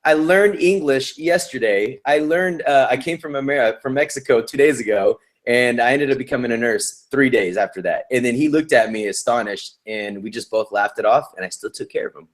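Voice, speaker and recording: A man's voice: mid-range voice, normal speed, hardly any pauses. A male nurse being interviewed, talking in an upbeat, slangy and encouraging way. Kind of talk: casual interview chat.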